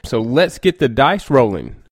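A man's voice: medium pitch, sing-song, a little slow. A man with a cold and a stuffy nose, hosting a gaming podcast in a chatty, rambling way and often correcting himself. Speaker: podcast host. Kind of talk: casual gaming podcast monologue.

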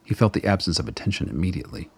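A man narrating a radio play in a measured, dramatic, literary storytelling style. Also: sits low, lively intonation, vocal fry, darkish tone, husky.

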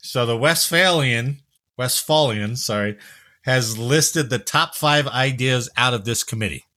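A man from New Jersey hosting a casual news chat, jokey and quick to laugh. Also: medium pitch, bright, forceful tone. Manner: jokey, laughs often, tells stories. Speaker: podcast host.

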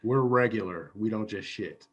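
A man's voice: lowish voice, moderate pace, husky, highs cut off. A man playing a prim, corrective family member in an improv comedy parody ad, matter-of-fact in delivery.

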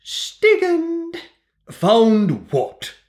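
A woman voicing a baffled duck with a shrill, quacky way of asking questions. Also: wildly swooping pitch, drawn-out words, a little mellow, roomy sound, full character voice. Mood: squawky.

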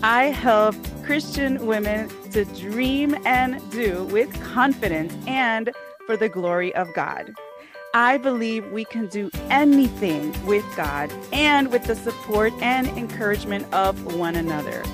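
A woman's voice: a little high, expressive, measured pace, over music. A woman hosting a podcast, speaking with enthusiasm and a faith-centered focus, recorded on a close mic. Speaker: podcast host. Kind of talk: warm podcast interview.

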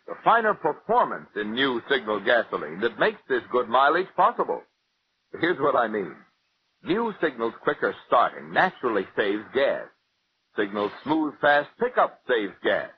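Animated American radio announcer, old recording. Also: male voice, moderate pace. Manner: polished sales pitch. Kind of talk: 1940s radio advertising read. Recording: muffled old radio broadcast, hiss.

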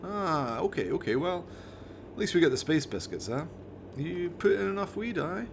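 A man puts on an exasperated bloke for a radio play. He nags in sweary, colloquial phrases, his pitch leaping about with real animation. There is clear noise on the recording. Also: very animated, moderate pace. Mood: coaxing. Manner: colloquial, chiding, peppered with "aye" and "eh". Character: exasperated, sweary, scruffy bloke, chimp's best friend.

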